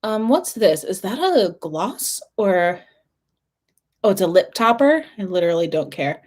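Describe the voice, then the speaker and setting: A woman chatting casually in a makeup review vlog, her voice husky and a little hoarse as if she is losing it, often clearing her throat between fillers. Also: mid-range voice, sing-song, measured pace, a little mellow. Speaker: beauty vlogger.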